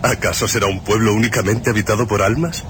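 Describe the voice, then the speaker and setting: An actor in a radio drama playing a cocky lone driver who talks to himself in a sardonic, deliberate mutter. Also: male voice, fairly low pitch, fairly even intonation, noisy background, natural voice. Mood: wry amusement. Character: cocky lone male driver, talking to himself, mocking danger.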